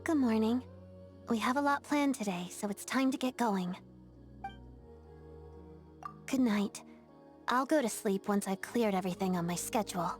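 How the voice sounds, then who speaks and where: Woman voicing young ballerina game character, kinda high voice, polite n composed, very earnest, music behind. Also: darkish tone, lightly acted. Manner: composed, formal, measured. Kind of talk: video game character voice acting.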